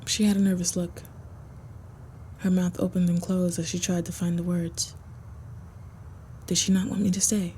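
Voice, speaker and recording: A woman narrating a first-person story for an intimate roleplay audio in a soft, measured way. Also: on the low side, flat delivery, a little hiss or hum.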